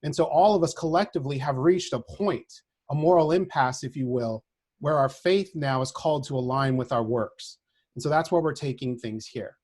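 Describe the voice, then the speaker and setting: A man speaking as a social work professor, giving a prepared lecture in an earnest, deliberate manner, often checking in with a short tag question. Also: mid-range voice, a little mellow.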